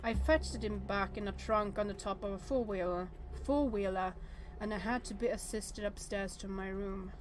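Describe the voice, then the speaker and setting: A woman game streamer reading a visual novel aloud with casual commentary, often hesitant and thinking out loud in fragments. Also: mid-range voice, moderate pace, few pauses, a little hiss or hum.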